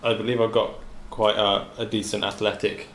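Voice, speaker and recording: A man's voice: on the low side, flat delivery, normal speed. A man speaking casually as a player in a tabletop role-playing game. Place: indoor room with other players. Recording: echoey room, faint background noise.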